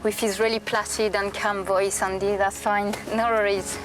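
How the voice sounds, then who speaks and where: A woman's voice: medium pitch, flat delivery, moderate pace, low-quality recording. A woman training as a pilot, speaking in an interview and searching for her words as she talks.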